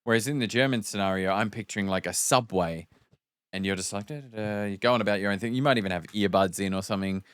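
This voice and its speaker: A man co-hosting a podcast, musing wryly in relaxed, casual conversation. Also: lowish voice, fast talker, smooth, dry recording. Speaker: podcast co-host. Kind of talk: casual chat.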